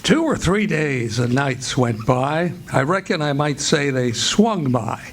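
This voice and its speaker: A man's voice: fairly high pitch, expressive, unhurried. An actor as the narrator of a radio play, an American man telling a river tale in a folksy, descriptive way. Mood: scene-setting. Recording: live stage with music behind.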